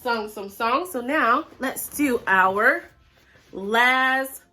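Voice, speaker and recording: A woman's voice: on the high side, expressive, very slow, sharp tone. A woman hosting a preschool storytime, playfully talking straight to the young children. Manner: playful, addresses children directly.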